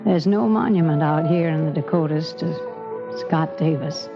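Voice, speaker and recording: A spirited woman of the old West with a low-pitched voice. It is 1940s radio drama acting, on a dull vintage recording with music behind it. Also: measured pace, vocal fry. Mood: wistful. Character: spirited frontier woman Dixie, later an old-timer widow remembering.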